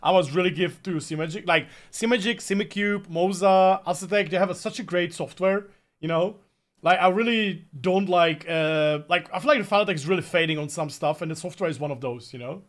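High-voiced man streaming. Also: fairly quick. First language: Czech. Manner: rambling, chatty, lots of fillers. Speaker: sim racing streamer. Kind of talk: live stream chat while driving.

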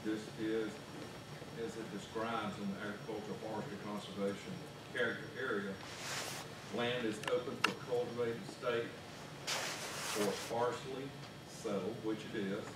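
Brief: low, flat-voiced commissioner, echoey hall, noisy far mic. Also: male voice, normal speed. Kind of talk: remarks at a meeting. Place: large public meeting room.

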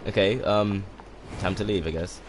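A man in his twenties with a relaxed, soft-spoken voice, casually commenting while playing a game and rambling with many fillers. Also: deep voice, normal speed, slight background noise. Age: 20s. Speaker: game streamer. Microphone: condenser microphone. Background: game music.

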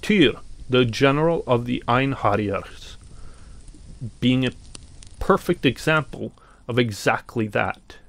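Slow, gravelly-voiced Norwegian man. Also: mid-range voice, lively intonation, fairly bright tone. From Norway. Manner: slow and deliberate, with pauses and some fillers. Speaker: podcast host. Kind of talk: reflective commentary on mythology.

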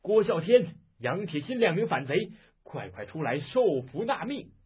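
Narrator playing a pompous officer; loud barked orders, high-pitched bursts, phone-like sound. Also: male voice, very animated, a little fast, slightly rough. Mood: barking. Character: blustering male imperial army officer. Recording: lo-fi phone-like audio.

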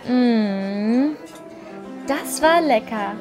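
High-voiced young witch girl. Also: expressive, very slow, music in the background. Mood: content. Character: sweet young witch girl on a dinner date. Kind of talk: audio drama acting.

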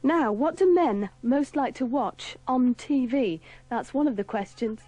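A woman's voice: high voice, measured pace, mellow, no echo. A woman presenting live on the radio.

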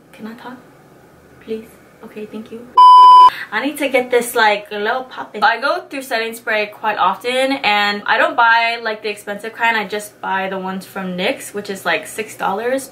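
Bright-voiced bubbly young woman vlogger. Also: medium pitch, slight background noise. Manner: bubbly, slangy, swears casually. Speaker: beauty vlogger. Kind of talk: casual chatty tutorial. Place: small indoor studio, grey backdrop.

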